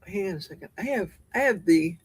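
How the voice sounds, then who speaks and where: A woman's voice: fairly low pitch, lively intonation, brisk, slightly rough, no room sound. A woman hosting a casual livestream from her home basement, chatty and jokey as she answers viewers' comments. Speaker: livestream host. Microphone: desk mic with a cough button.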